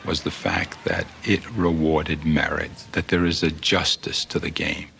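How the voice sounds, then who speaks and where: A man speaking reflectively in measured, philosophical phrases. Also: a bass voice, flat delivery, creaky, pushed and bright, a little hiss or hum. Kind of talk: reflective spoken remarks on sport.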